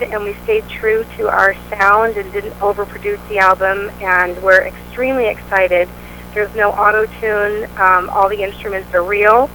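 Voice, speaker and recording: A woman's voice: medium pitch, sharp tone, slight background noise, rough recording. A woman, the singer of a band, answering interview questions in an upbeat, chatty way with many fillers. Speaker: band vocalist, interview guest.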